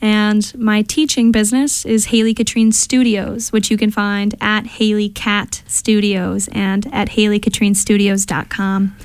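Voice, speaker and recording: A woman from the Upper Midwest of the US, a singer-songwriter and voice teacher, chatting in an interview in a quick, bubbly, self-effacing way. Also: mid-range voice, level tone, clean tone, dry recording. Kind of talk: casual interview chat.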